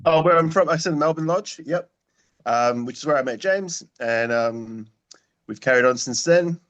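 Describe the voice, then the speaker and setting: A man's voice: a little high, moderate pace, fairly bright tone. A man introducing himself hesitantly, with many "um"s. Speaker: debate panelist. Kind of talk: casual self-introduction.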